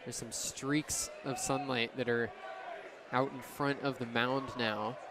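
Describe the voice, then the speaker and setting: Young guy doing live baseball radio play-by-play, clipped calls, loud crowd and ballpark noise behind him. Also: mid-range voice, steady tone, takes it slowly. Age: young adult. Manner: clipped phrases, descriptive calls. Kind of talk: live sports commentary. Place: outdoor baseball stadium. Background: crowd and ambient noise.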